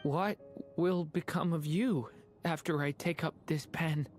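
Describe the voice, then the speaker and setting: Earnest young hero, video game, low-leaning pitch, unhurried. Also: female voice, a little mellow, faint music behind. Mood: hesitant, resolved. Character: earnest young character brought to life from a story. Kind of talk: scripted video game voice acting.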